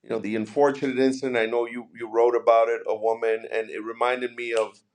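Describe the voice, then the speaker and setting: A man from New City, hosting a conversational podcast interview in a discursive, halting way, often repeating phrases as he builds questions. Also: medium pitch, little pitch movement. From New York City, United States.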